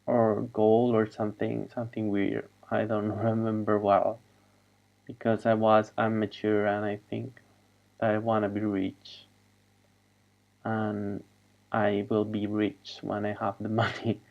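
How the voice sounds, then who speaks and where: Slow, flat-voiced man on a muffled call. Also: fairly low pitch, creaky. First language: Spanish. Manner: halting, wandering, trails off. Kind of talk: rambling monologue. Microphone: video call.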